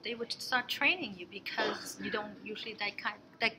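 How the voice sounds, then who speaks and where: A young woman from Canada talks through her answers in a relaxed, unhurried interview, circling back and restarting as she thinks. Her voice sits at an ordinary middle pitch. A steady hiss of room noise runs under the whole recording. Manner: thoughtful, many restarts and fillers, soft trailing asides. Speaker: interviewee, exchange alumna. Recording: noisy room ambience.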